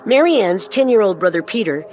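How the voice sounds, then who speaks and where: A woman co-narrating a scripted true-crime story with measured, dramatic delivery. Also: medium pitch, sing-song, a little mellow, lo-fi sound, slight background noise.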